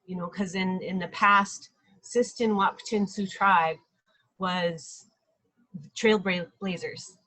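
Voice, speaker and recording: Earnest middle-aged woman, measured pace. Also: mid-range voice, steady tone, pushed and bright, low-quality recording. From Lake Traverse Reservation area, South Dakota. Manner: earnest, measured, with frequent ums and pauses. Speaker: election candidate. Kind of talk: live video self-introduction. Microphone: webcam or phone, live video stream.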